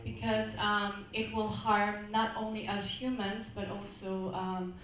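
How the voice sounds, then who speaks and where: Woman in her twenties, pageant contestant, careful halting answers, echoey hall, distant mic, faint room noise. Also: medium pitch, flat delivery, a bit bright. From Austria. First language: German. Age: 20s. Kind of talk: answering judges' questions. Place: hotel event hall.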